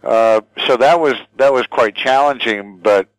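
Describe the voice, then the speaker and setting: A man in his sixties speaking reflectively as a radio executive being interviewed, feeling his way through his sentences in a halting, thoughtful manner. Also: mid-range voice, clear, resonant tone, no room sound, poor audio. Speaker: radio station general manager, interview guest. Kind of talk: conversational interview, reflective storytelling.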